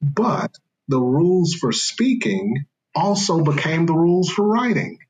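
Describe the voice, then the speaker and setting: Husky man explaining ancient texts. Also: a little high, big pitch swings, a little slow, darkish tone, low-quality recording. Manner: careful, lecturing, with fillers and restarts. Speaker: scholar guest. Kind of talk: explaining in a podcast interview.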